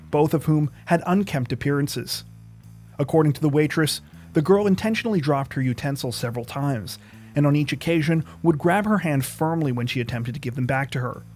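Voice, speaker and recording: Male true crime narrator, slightly high voice, serious and measured, soft guitar music underneath. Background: plucked guitar music.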